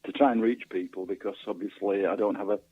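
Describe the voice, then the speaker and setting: A man being interviewed as a guest author and historian, speaking casually and haltingly, often repeating words mid-sentence. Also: fairly low pitch, steady tone, husky. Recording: muffled, narrow phone-line sound with echo.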